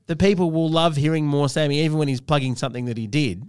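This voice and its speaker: A man's voice: on the high side, fast talker, smooth, no room sound, clean studio sound. A man hosting a podcast in casual, comic banter. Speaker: podcast host.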